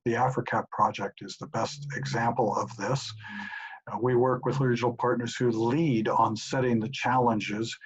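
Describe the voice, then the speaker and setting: Husky middle-aged professor guy answering questions, steady and explanatory, muffled home-mic sound. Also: mid-range voice. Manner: measured, explanatory. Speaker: professor and institute director. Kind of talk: recorded answers to audience questions. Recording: dull lo-fi home recording.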